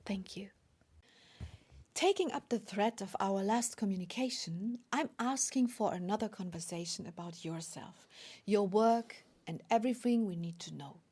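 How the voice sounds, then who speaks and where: A woman with a German accent and a mellow, breathy voice. She is a native German speaker who addresses listeners formally as a spiritual podcast host. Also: medium pitch, moderate pace. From Germany or German-speaking Europe.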